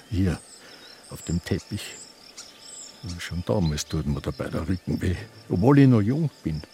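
An actor playing a confused, reminiscing elderly man with an Austrian accent, in a halting radio drama monologue. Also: on the low side, vocal fry, dark tone, exaggerated voice. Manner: halting, ruminative.

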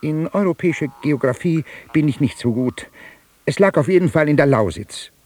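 An actor playing a boastful, taunting djinn in a literary radio drama, declaiming theatrically in a husky voice. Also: male voice, a little high, expressive, moderate pace, darkish tone. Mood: boastful, reminiscing. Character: boastful, taunting djinn, a conjured airy spirit.

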